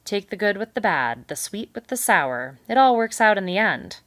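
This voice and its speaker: Woman putting on a booming, hearty beaver schemer. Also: big pitch swings, moderate pace, a bit bright, dry recording. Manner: jovial, booming, salesman-like. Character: hearty, smooth-talking big male beaver schemer.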